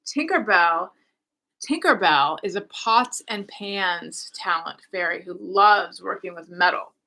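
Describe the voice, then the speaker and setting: Bright chatty woman reading comments. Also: on the low side, slow pace, creaky, rough recording. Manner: chatty, reads viewer comments aloud. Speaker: host. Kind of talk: casual livestream chat. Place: home.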